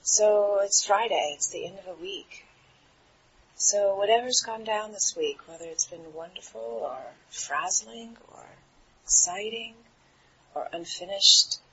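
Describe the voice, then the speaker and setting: A woman meditation teacher guiding a relaxation in a soothing, unhurried manner with trailing pauses. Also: medium pitch, a bit bright. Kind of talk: guided meditation. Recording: dull, muffled recording with clear background noise.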